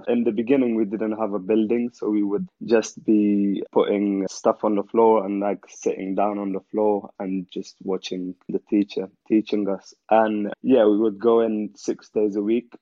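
A man's voice: on the low side, dry recording. A young man from Afghanistan, chatting as an interview guest and telling his story easily, with lots of "you know". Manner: relaxed storytelling with many "you know" fillers. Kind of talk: casual interview chat. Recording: dull, dry lo-fi sound.

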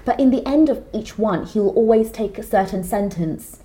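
Woman, late 20s, podcast co-host; casual, animated, laughs easily. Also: mid-range voice, moderate pace, rough recording. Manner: animated, expressive, breaks into laughs. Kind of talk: casual chat.

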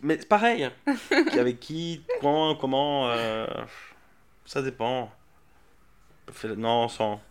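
A man, a comedian guest on a podcast, talking in a playful, animated way, laughing often and acting out little scenes. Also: medium pitch, extremely slow, long pauses, clean tone. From Geneva, Switzerland. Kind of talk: casual podcast chat.

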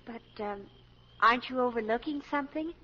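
Casting: impassioned lovestruck college girl, very high bright voice, emphatic radio-drama style, old muffled recording. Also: brisk, breathy edge. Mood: coy. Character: impassioned lovestruck college-age daughter, girlish. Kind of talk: 1940s radio drama acting.

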